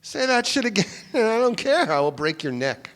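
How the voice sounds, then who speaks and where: A man's voice: high voice, sing-song, normal speed, a bit bright. A man playing a plain-spoken, awkward retired police detective in a staged play reading, with clipped, unpretentious delivery. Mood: threatening. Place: live on a theatre stage. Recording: reverberant theatre hall.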